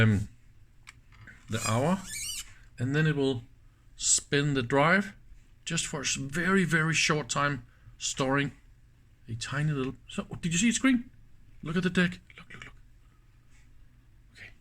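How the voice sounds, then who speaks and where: A man presenting a casual hands-on demonstration, speaking in halting pieces and often checking in with short questions. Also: medium pitch, fairly bright tone. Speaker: presenter of electronics teardown videos.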